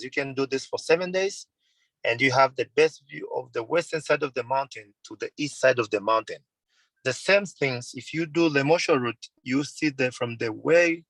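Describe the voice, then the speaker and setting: A man's voice: medium pitch, fairly bright tone, no echo, poor audio. A man in his thirties from Tanzania, a mountain guide, answering interview questions on a video call in earnest, simple phrasing, often repeating and correcting himself. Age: 30s. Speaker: mountain guide and tour company owner.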